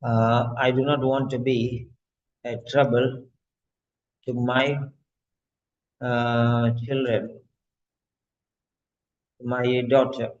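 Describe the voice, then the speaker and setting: Frail ninety-year-old client, as a man reads him out, slow halting phrases, dull lo-fi sound. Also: mid-range voice, flat delivery.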